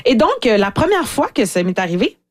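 Lively Québécois woman telling a story. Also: fairly high pitch, brisk, dry recording, clean studio sound. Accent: Québécois French. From Canada. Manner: lively and self-mocking, playing other people's lines in quotes. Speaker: guest. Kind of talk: casual storytelling chat.

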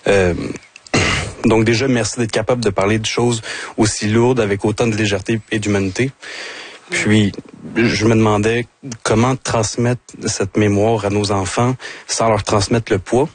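Husky, lowish-voiced Quebec man. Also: fairly even intonation, normal speed. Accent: Quebec French. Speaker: audience questioner, father. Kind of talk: asking a personal question.

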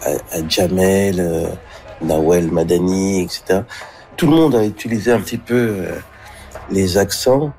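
A male comedian answering an interview, thoughtful and hesitant with frequent pauses. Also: low voice, mellow.

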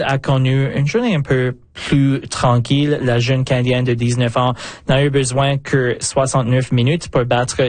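A man's voice: medium pitch, a little mellow, dry recording. A man giving a live radio sports update as a reporter, stumbling over words now and then.

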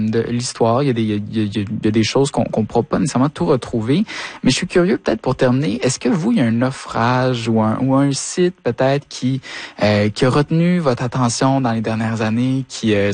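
A male interviewer with a Quebec French accent and a medium-pitched voice. He talks informally, stumbling back over words as he forms his thoughts. The sound is dry, with very little room to it. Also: a little mellow, no echo. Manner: informal, halting with repeated words. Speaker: radio interviewer. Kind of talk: conversational interview.

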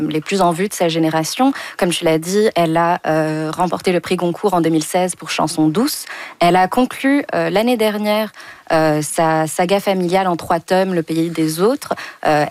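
A woman from Morocco, speaking as a radio columnist, with a lowish voice that sounds dry and close. She explains in a composed, fluent way. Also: darkish tone, no echo. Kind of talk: casual radio panel chat.

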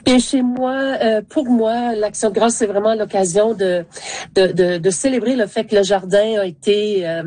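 A woman's voice: mid-range voice, steady tone, normal speed, dark tone, dry recording. An older woman, giving hesitant conversational answers as a panel guest.

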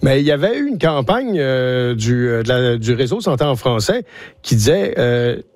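A man's voice: medium pitch, very animated, normal speed, darkish tone, no echo. A man hosting a conversational interview, agreeable, with hesitant phrasing. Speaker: interview host.

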